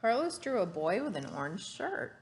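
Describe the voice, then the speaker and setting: A woman's voice: medium pitch, sing-song, normal speed, slight background noise. A woman reading a story aloud to children like a teacher, adding explanations and questions for the listener. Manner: didactic, asks the listener questions.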